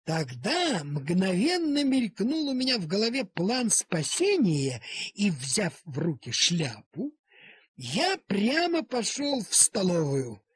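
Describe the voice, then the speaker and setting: A man reading a literary animal story aloud in a theatrical, deliberate manner with dramatic pauses. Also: high-pitched, huge pitch swings, breathy edge, dry recording. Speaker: storyteller, audiobook reader. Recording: cassette transfer of audio play.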